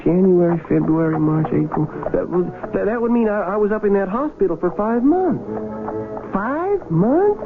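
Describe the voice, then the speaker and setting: An actor playing a confused, guilt-ridden wounded Civil War soldier in a radio drama, with an American accent and folksy, stammering speech. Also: male voice, high voice, expressive, normal speed, over music. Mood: confused, sheepish. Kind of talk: 1970s radio drama acting. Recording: tinny, noisy old radio recording.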